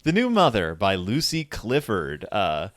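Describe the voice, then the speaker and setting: Animated, chatty man hosting a podcast. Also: lowish voice, measured pace, dry recording, clean studio sound. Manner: enthusiastic, chatty, frequent "like" as filler. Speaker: podcast host. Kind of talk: casual chat about literature.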